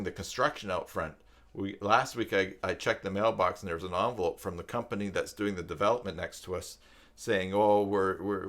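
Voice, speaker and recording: An older man hosting a live stream, chatting casually in a rambling, reflective way with hesitant pauses. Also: sits low, fairly bright tone. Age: older, with grandchildren.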